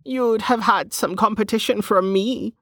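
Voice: mid-range voice, a little slow, a bit bright, dry recording, clean studio sound, pitched above the performer's own voice. A narrator voicing a teasing, bawdy old woman who runs a market stall, with a knowing manner, in an audiobook. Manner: teasing, knowing, calls people girl and dear. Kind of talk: audiobook character dialogue.

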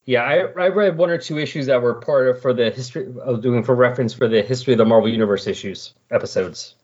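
Quick-talking man, casual podcast host. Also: medium pitch, a little mellow, lo-fi sound. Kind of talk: casual chat about comic books.

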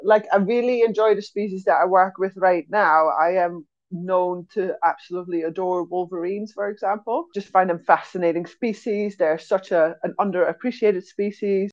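A woman's voice: mid-range voice, darkish tone. Chatty European woman, slightly dull audio. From Netherlands and Switzerland. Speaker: zookeeper, podcast guest. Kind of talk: casual anecdotal interview talk.

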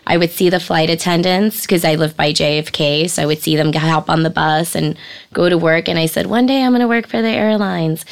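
Female podcast guest, New York accent, noticeable vocal fry, slightly low, chatty storyteller. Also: steady tone, a little fast. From New York City, born in the Bronx, raised in Queens. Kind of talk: casual anecdotal chat.

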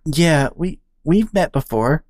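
A woman's voice: sits low, expressive, slow pace, a little mellow, no echo, clean studio sound, pitched above the performer's own voice, full character voice. A woman voicing a shy, nervous young female bat who stammers and apologizes. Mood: timid.

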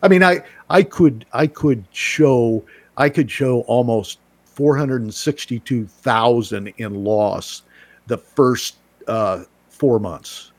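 A man speaking casually as a guest, halting and repeating himself as he explains. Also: medium pitch, a little mellow, no room sound. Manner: halting, repeats himself, frequent "you know". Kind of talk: casual conversation.

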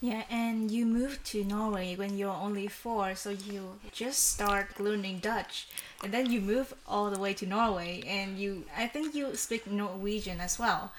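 A woman's voice: medium pitch, a little slow, hardly any pauses, a little hiss or hum, rough recording. Nineteen-year-old woman hosting a student podcast. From Vietnam. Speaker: podcast host. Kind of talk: student podcast, partly read from a script.

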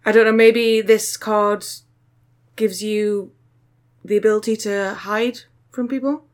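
A woman's voice: medium pitch, steady tone, slow. A woman hosting a podcast, joking her way through card art in casual chat. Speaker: podcast host.

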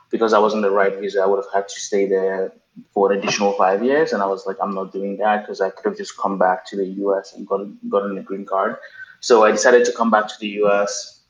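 A man's voice: lowish voice, flat delivery, fairly quick. A man in his thirties from Cameroon, a podcast guest telling his story casually, with many ums and restarts. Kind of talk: casual interview answers. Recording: roomy, dull remote recording with faint noise.

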